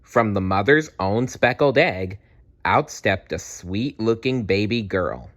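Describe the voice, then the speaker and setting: A man reading a children's storybook aloud in an expressive, unhurried way, adding small asides. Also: lowish voice. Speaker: children's book read-aloud narrator.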